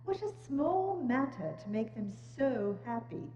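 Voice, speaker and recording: A woman acting a high, mellow probing ghost. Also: sing-song, a little slow. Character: calm, probing Christmas ghost guiding a miser. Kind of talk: dramatic stage reading. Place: church hall, dramatic reading before microphones. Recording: dull, noisy, echoing church hall.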